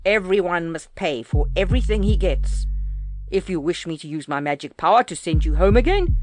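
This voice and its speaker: A woman's voice: lowish voice, close to an everyday voice. Woman reading as a stern, grave great wizard.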